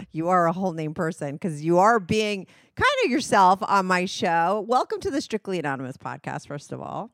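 Middle-aged woman podcast host, low-ish, blunt and candid, dry close sound. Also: lively intonation, normal speed, a bit bright, no echo. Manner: candid, blunt, laughs often, swears. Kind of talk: casual interview chat.

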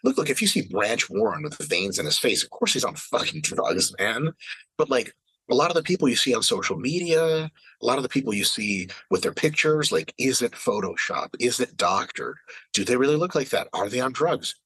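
A man's voice: medium pitch, very animated, fairly quick, a bit bright, slightly rough, dry recording, rough recording. A man in his late thirties in casual podcast chat, ranting with emphatic, profane energy. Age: 30s. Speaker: fitness podcast regular.

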